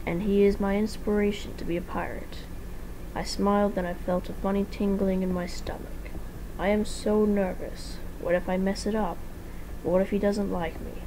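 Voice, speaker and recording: A woman's voice: mid-range voice, vocal fry, noisy background. A woman giving an amateur dramatic reading of a fan fiction story, sometimes stumbling over words and rereading them.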